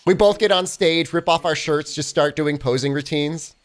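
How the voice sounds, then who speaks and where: A man's voice: fairly high pitch, moderate pace. A young man with a phlegmy cold voice, chatting casually with his stream while he plays, full of "I mean" and "like". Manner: rambling, lots of "I mean" and "like". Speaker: speedrun streamer.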